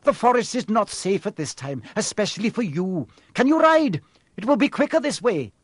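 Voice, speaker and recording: A man's voice: sits high, very animated, normal speed, dry recording, pitched above the performer's own voice. A man voicing a noble young male centaur, earnest and protective, who speaks in an urgent, solemn way. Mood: concerned.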